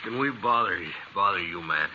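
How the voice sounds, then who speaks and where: A proud, down-and-out homesteader father, low in pitch with a very sharp, forceful edge. His speech is plain and halting, on a dull 1950s radio drama recording. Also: lively intonation, exaggerated voice. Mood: humbled. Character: proud, destitute homesteader father with bad leg. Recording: muffled old radio recording, faint noise.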